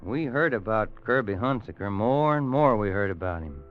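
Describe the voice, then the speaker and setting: A man's voice: on the low side, animated, normal speed, close to an everyday voice. An actor playing a weary, worried Western man telling a grim tale in a folksy American radio drama style. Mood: grave, haunted. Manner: folksy, grave dramatic storytelling. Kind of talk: 1950s radio drama acting. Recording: muffled old radio recording with music.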